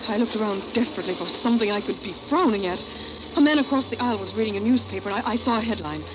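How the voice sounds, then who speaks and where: An actress playing a bitter, desperate failed actress secretly plotting murder, narrating in a brooding, confessional 1940s radio thriller style. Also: female voice, medium pitch, lively intonation, rapid-fire, noisy background, close to an everyday voice. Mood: nervous. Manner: brooding, dramatic, confessional delivery. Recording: muffled vintage radio recording with loud music.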